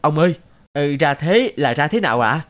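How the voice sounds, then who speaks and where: A man playing a puzzled, respectful servant in an animated folk tale, questioning and deferential. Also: fairly high pitch, normal speed.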